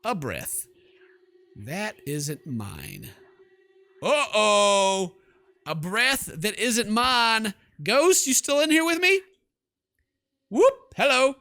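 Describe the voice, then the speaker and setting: A man's voice: high-pitched, very animated, drawn-out words, vocal fry, long pauses, bright, forceful tone, no echo. A young man hosting a gameplay video, reading story text aloud theatrically and throwing in jokey asides. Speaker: gaming video host. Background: game music.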